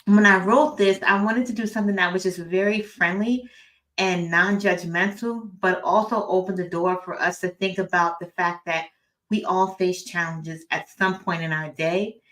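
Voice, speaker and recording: A woman's voice: on the low side, fairly even intonation, normal speed, fairly bright tone, poor audio. A middle-aged woman, an author and educator, talking informally as a guest speaker. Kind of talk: informal introduction and personal talk.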